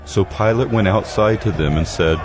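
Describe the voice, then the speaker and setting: Casting: male scripture narrator, deep register, scripted reading over soft music. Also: moderate pace, poor audio.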